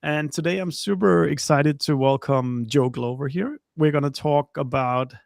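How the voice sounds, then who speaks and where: A man hosting a podcast interview, introducing his guest in a halting way, with lots of fillers and restarts and a small laugh now and then. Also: fairly high pitch, creaky, no echo, studio quality. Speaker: podcast host, company co-founder.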